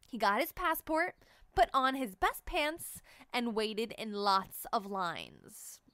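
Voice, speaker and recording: A woman reading a children's picture book aloud in an expressive, animated storytelling manner. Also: fairly high pitch, takes it slowly, smooth, dry recording. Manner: animated storytelling, sing-song. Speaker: read-aloud narrator.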